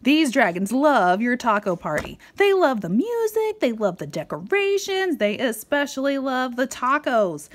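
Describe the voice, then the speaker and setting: Female storybook reader, animated and playful, swooping intonation, speaking to a small child. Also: sits high, measured pace, few pauses. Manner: playful, expressive, with dramatic emphasis. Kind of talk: animated read-aloud to a young child.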